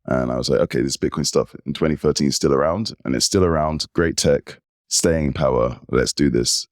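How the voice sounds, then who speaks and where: A man in his forties with an English accent, telling stories as an animated guest in a casual interview. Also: extremely low pitch, a little fast, no room sound, studio quality. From United Kingdom. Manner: animated storyteller. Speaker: podcast guest.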